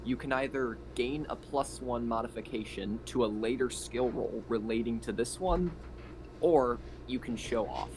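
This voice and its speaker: A man's voice: medium pitch, normal speed. A man in casual conversation as a tabletop game player, explaining options to the group. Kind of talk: casual chat and rules explanation. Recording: faint background noise on online call.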